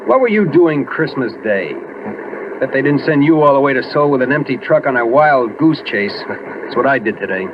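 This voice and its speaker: A man's voice: medium pitch, animated, moderate pace, natural voice. An American man playing a friendly, casual, inquisitive army truck driver in a vintage radio drama. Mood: curious. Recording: muffled, noisy vintage radio recording.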